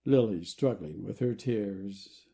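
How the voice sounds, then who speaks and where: A man's voice: fairly low pitch, takes it slowly, gravelly, mellow, breathy edge. A man reading stage directions as scripted narration in an audio play.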